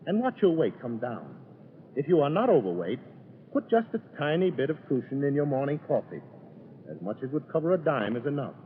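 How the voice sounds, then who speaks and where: A man working as a radio advertising announcer, delivering a 1930s-style sales pitch. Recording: tinny, noisy 1930s radio recording.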